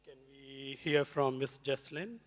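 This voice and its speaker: A man's voice: mid-range voice, takes it slowly. A man moderating a student panel in an informal way, inviting guests to speak and asking them questions. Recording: reverberant hall over microphone.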